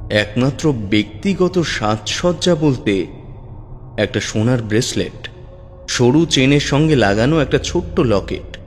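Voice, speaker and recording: A man tells a first-person ghost story in a measured, suspense-building voice of middle pitch. His narration rings with a long reverb. Faint music plays underneath. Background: faint background music.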